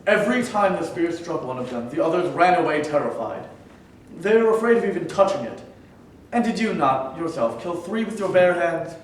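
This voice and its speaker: A man's voice: a little high, expressive, normal speed, a little hiss or hum, lightly acted. A man playing a proud, domineering cave king in a staged reading, speaking in a commanding, grandiose way. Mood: stern. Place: theater in New York. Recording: echoey hall from far off.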